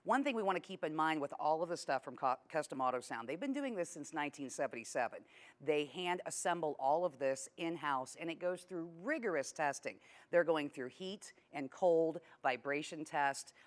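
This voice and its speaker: A woman's voice: sits low, lively intonation, normal speed. A woman co-hosting a car show, presenting products in a chatty, informative way.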